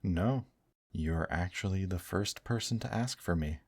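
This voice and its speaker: A man voicing a gentle, teasing male demon in an intimate ASMR roleplay, speaking softly and courteously to the listener. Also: sits low, breathy edge, no echo, studio quality, heavily acted. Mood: soft, honored. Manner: slow, soft, courteous, affectionate teasing with endearments. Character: gentle, teasing male demon brothel worker, tender and attentive.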